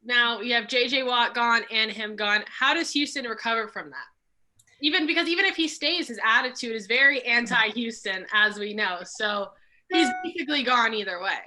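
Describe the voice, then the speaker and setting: Bright-voiced young woman co-hosting, slightly raspy. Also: on the high side, normal speed. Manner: conversational, asks questions, trails off quietly. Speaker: podcast co-host. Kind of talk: casual sports talk.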